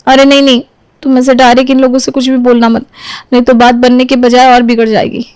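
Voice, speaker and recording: A woman's voice: high-pitched, completely flat delivery, brisk, a little mellow, clean tone, no echo, pitched above the performer's own voice, lightly acted. A woman voicing a meek, overworked young daughter-in-law who speaks earnestly and deferentially in an audio story. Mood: earnest, scheming.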